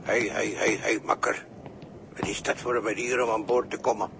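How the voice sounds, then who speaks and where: An actor playing a mocking, unhelpful deckhand in a radio play, drawling with mock formality. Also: male voice, medium pitch, steady tone, moderate pace, close to an everyday voice. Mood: mocking, smug. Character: mocking, pompous idle deckhand, wryly unhelpful. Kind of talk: 1960s Dutch radio play acting. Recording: faintly noisy 1960s radio recording.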